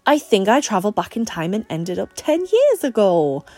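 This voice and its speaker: A woman's voice: medium pitch, big pitch swings, normal speed, darkish tone. A woman voicing a joking, sarcastic young man in an audiobook story. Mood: teasing, sarcastic.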